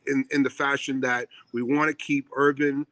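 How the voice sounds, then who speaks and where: A man's voice: mid-range voice, little pitch movement, moderate pace, bright, forceful tone, dry recording. Middle-aged New York man, theater owner and chef, earnest, repeats himself, dry close sound. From Puerto Rico. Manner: earnest, repetitive, many ums and restarts. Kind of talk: casual interview chat. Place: theater seat on a live call.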